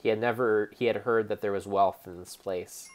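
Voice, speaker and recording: A young man giving a theatrical, mock-serious dramatic narration over gameplay, speaking deliberately like a storyteller. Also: on the low side, fairly even intonation. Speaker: game streamer.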